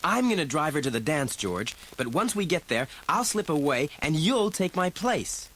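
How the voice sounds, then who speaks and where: An earnest, excitable teenage hero, speaks in a high, bright voice. His delivery is eager and emphatic, with big swoops of pitch, as in a storybook read-along. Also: normal speed, a little hiss or hum. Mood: determined.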